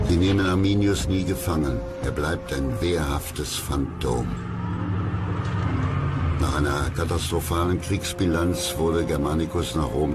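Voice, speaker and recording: A man narrating a documentary from a script in a solemn, dramatic storytelling manner. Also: very deep voice. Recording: music loud behind the voice. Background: loud background music.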